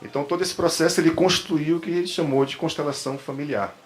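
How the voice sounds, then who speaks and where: A man's voice: on the high side, fairly even intonation, fast talker. A man with a Brazilian accent from Bahia gives an informal explanatory lecture as a therapist and trainer, rephrasing and repeating words as he goes. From Salvador, Brazil. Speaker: therapist and trainer giving a talk. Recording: clear background room noise.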